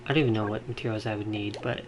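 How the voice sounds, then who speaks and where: A man's voice: fairly low pitch, fairly even intonation, very fast. Casual male streamer over game music. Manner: rambling, thinking out loud. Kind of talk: casual live gameplay commentary. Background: video game music.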